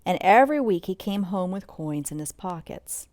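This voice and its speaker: A woman reading a children's bedtime story aloud in an expressive read-along style, sometimes commenting as she goes. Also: fairly low pitch, moderate pace, no room sound. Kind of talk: bedtime story read-along narration with asides about pictures.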